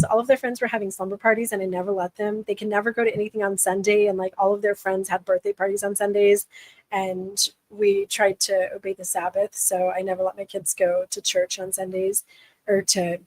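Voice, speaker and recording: A woman's voice: mid-range voice, dry recording, rough recording. Blunt, candid woman with a tired voice. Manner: candid, conversational, with frequent restarts. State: losing her voice. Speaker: livestream host. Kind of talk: casual live chat answering viewers.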